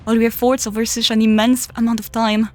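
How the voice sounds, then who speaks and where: A woman playing an analytical, questioning character in a fan-dubbed game story, with a measured manner. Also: fairly high pitch, flat delivery, a little mellow, close to an everyday voice. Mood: incredulous. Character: incredulous, analytical female questioner. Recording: faint background music.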